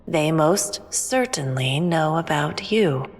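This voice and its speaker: A woman playing a calm, all-knowing alien who speaks in a measured, formal, cryptic way in a sci-fi audio drama. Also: sits low, heavily acted. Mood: calm, assured. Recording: long reverb, music underneath.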